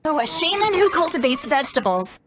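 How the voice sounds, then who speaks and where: A woman's voice: high-pitched, sing-song, fairly quick, music in the background. An actress playing a cocky, blunt young female shaman in a video game, speaking in a self-assured, brusque, explanatory way. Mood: smug. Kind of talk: animated video game voice acting.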